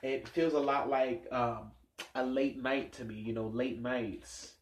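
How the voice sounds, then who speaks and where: A man's voice: mid-range voice, fairly even intonation, measured pace. A man with a stuffed-up nose giving a casual tarot reading, musing aloud and rambling with many fillers. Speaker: tarot reader. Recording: echoey room sound.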